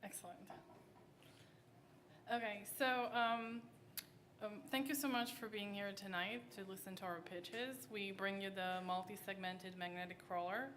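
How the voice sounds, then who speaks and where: A woman presenting her team's startup pitch at an event, soft-spoken and hesitant, with many pauses and fillers. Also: slight background noise. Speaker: startup team member pitching. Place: event hall.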